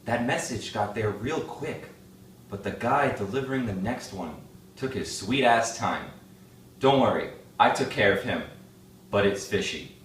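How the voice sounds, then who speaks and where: A man's voice: lowish voice, animated, a little slow, slight background noise. A man playing a sly, persuasive schemer in a crime family, in an amateur stage play. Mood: scheming. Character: scheming smooth-talking brother in a crime family. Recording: echoey room, distant mic.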